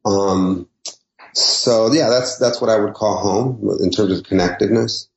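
A man's voice: lowish voice, steady tone, a little slow. A man in his fifties from San Diego, a native Spanish speaker, talking as a poet guest in a casual interview, hesitant with many ums and restarts. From San Diego, California. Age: 50s. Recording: echoey lo-fi remote line.

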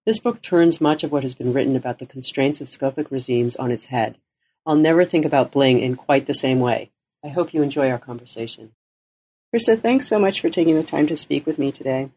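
A woman's voice: rumbling low, fairly quick, mellow, breathy edge, poor audio. A woman hosting an interview podcast, reading an introduction and then asking conversational questions. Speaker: podcast host.

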